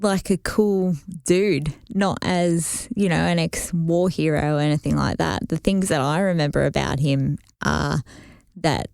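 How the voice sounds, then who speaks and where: Low, gravelly woman chatting. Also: fairly even intonation, unhurried, a little mellow, no echo, clean studio sound. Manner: rambling anecdotal storytelling. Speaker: podcast co-host. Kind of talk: casual chat.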